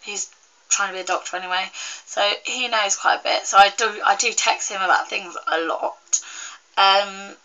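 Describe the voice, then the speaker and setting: A young woman vlogging in a casual, rambling chat to camera, full of fillers and restarts, her voice a little croaky and congested from a cold. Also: medium pitch, fairly even intonation, moderate pace, sharp tone, rough recording. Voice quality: slightly croaky.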